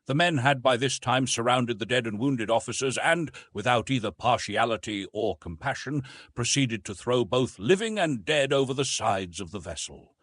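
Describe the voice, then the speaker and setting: A man's voice: mid-range voice, expressive, normal speed, fairly bright tone, no room sound. A man reading a classic adventure novel as a scripted audiobook narration.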